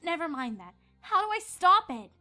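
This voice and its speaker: Casting: woman as flustered young cartoon pony princess, eager student, very high and wildly animated. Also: normal speed, faint music behind, heavily acted. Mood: excited, urgent. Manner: hesitant, self-correcting, excitable. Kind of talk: cartoon-style fan fiction dramatic reading.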